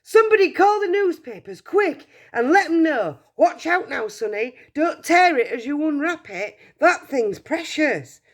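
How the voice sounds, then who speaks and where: A woman voicing an excitable fat male shopkeeper who yells in shrill, frantic delight. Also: measured pace, hardly any pauses. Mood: ecstatic yelling.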